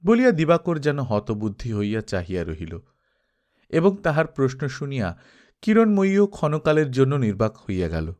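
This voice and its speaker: A man's voice: mid-range voice, sing-song, brisk, a little mellow. A man reading scripted literary narration as an audiobook storyteller.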